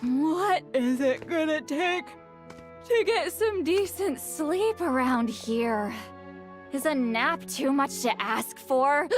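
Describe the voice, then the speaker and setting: A woman's voice: high voice, lively intonation, takes it slowly, soft background music. A woman playing an irritable, sleep-deprived young woman in a video game cutscene, drawling with exasperation. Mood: exasperated.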